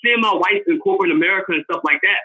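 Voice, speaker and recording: A man with a New York accent calling in, talking fast and earnestly. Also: sits high, pushed and bright, slightly rough. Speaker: caller. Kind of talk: casual chat. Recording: muffled phone-in audio.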